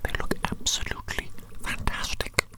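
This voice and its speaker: Female ASMR performer, fully whispered, playing a quirky doting doctor; deliberate, repetitive phrasing. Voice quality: breathy, unvoiced whisper. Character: eccentric, doting doctor fussing over a tiny patient. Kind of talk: whispered ASMR medical roleplay.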